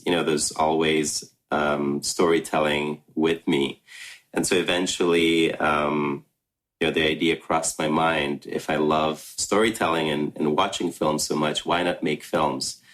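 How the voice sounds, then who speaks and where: A man in his thirties speaks with a Ghanaian accent softened by years in America, his voice extremely deep and low. He answers interview questions in a measured, reflective way, pausing often with ums and small restarts. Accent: Ghanaian with an American tinge. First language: Russian. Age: 30s. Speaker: film director guest.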